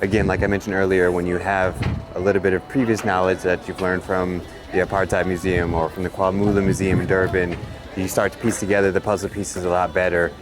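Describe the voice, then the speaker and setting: Very deep-voiced male travel vlogger. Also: flat delivery, a little fast. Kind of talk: casual travel vlog narration to camera. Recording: music behind the voice.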